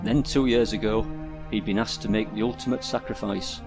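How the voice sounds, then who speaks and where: Brief: man narrator, lowish voice, steady storybook reading, music bed. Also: moderate pace. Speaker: story reader. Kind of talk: scripted narration.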